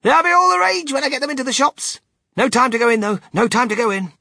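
An eccentric, impatient storybook factory owner, high and wildly animated. He is brisk, dismissive and theatrical, with a bright, forceful edge that keeps every phrase exclamatory. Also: slightly rough, no room sound, clean studio sound, full character voice. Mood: exuberant, impatient. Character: excitable, eccentric male chocolate-factory owner, flamboyant and impatient.

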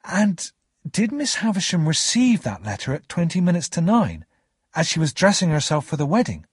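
A man's voice: fairly high pitch, huge pitch swings, moderate pace, husky, no echo, studio quality, pitched above the performer's own voice, full character voice. A man voicing an eager, timid young male student in a storybook, hesitant and questioning. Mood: curious.

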